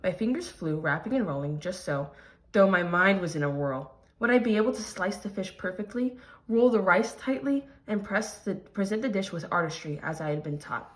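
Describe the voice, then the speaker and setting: Female primary teacher, mid-pitched, animated read-aloud delivery with explanatory lesson asides for children. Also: normal speed. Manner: explanatory, addressing young students. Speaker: teacher reading a picture book aloud.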